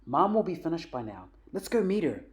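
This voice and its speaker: A woman's voice: low-pitched, big pitch swings, fairly quick, voice pushed up. A woman voicing a cheerful, patient father in a children's storybook, explaining things to his son with enthusiasm.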